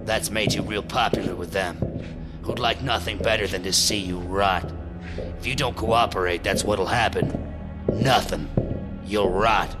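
Ator fazendo detetive cínico de voz grave, americano. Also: male voice, on the low side, steady tone, a little slow, natural voice. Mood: taunting. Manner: sardonic, needling, blunt. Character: gruff, cynical, taunting male police detective. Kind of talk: audio drama acting. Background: loud music.

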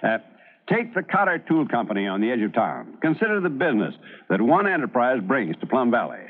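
An American man playing a determined, crusading small-town newspaper editor in an old-time radio drama, earnest and forceful, given to speeches. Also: medium pitch, big pitch swings, brisk. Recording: muffled vintage radio recording.

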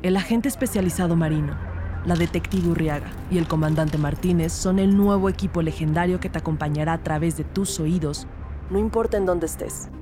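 A woman's voice: fairly low pitch, a little fast, lightly acted. An actress playing a junior woman detective who narrates her case in a scripted audio drama, with music behind her. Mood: composed, inquisitive.

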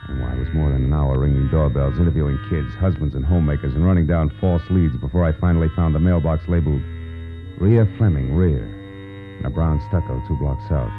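A hard-boiled gumshoe from 1940s radio drama with a very low, brusque voice. He talks in slangy, sardonic jabs. The recording is muffled and old, with music in the mix. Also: brisk. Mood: dry narration. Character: tough, wisecracking hard-boiled male private detective.

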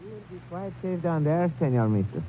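An actor playing a courteous, anxious Latin American contact with a Spanish accent and formal phrasing in a vintage radio adventure. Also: male voice, fairly high pitch, very animated, normal speed, slight background noise. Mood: courteous, uneasy. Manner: formal, courteous, without contractions. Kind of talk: 1950s radio adventure acting. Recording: tinny old radio recording.

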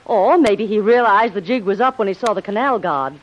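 An actress playing a plucky, earnest young heroine in old radio drama style. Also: female voice, mid-range voice, brisk, close to an everyday voice. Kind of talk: 1940s radio acting. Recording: dull old radio recording.

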